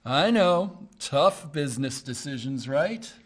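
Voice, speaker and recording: An actor playing a smug, patronizing wealthy suitor. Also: male voice, on the high side, animated, slow. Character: smug condescending rich suitor. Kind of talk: stage-play acting.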